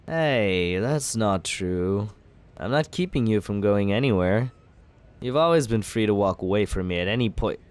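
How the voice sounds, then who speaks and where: A man in an ASMR roleplay as a villain, talking smoothly and persuasively in a teasing, flirtatious way. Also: fairly low pitch, lively intonation, normal speed.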